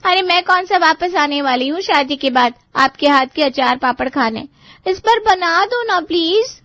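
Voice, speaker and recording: A woman's voice: extremely high pitch, lively intonation, moderate pace, fairly bright tone, dry recording. A woman playing a spoiled young bride-to-be in a family drama, pleading and sweetly manipulative with her relatives. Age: young adult. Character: wheedling, spoiled young bride-to-be, pampered little sister. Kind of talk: Hindi family drama acting for story video.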